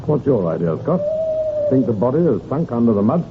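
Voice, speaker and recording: A man's voice: mid-range voice, very animated, a little fast, narrow, tinny audio, close to an everyday voice. Dogged police detective in old crackly radio drama man acting. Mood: musing, curious. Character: steady, doggedly persistent male police detective leading a dig. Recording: old, noisy, band-limited radio recording.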